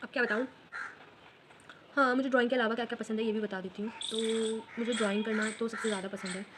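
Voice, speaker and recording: Teen girl rambling fast about her life while she draws, voice a bit high, hiss behind her. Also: fairly even intonation. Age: late teens. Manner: chatty, fast, mixes English phrases into Hindi. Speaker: vlogger. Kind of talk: casual storytelling while drawing.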